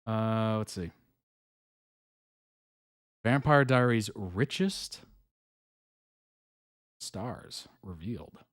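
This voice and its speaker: A man's voice: lowish voice, lively intonation, drawn-out words, long pauses, no echo, clean studio sound. A young adult man hosting a live reaction stream, chatting casually and musing aloud with fillers and small chuckles. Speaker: reaction video host.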